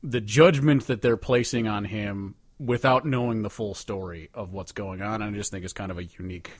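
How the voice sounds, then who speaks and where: Low-voiced man rambling casually, dry close sound. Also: a little fast, dry recording. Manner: rambling, profane, conversational. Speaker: podcast co-host. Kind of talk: casual chat, ranking songs with commentary.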